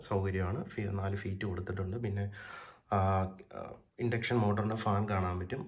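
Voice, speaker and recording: A man with a Kerala accent giving an informal, unscripted walkthrough as a presenter, explaining part by part and often pausing mid-sentence. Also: on the low side. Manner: unscripted, hesitant with frequent pauses mid-sentence. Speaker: presenter of a vintage audio gear channel.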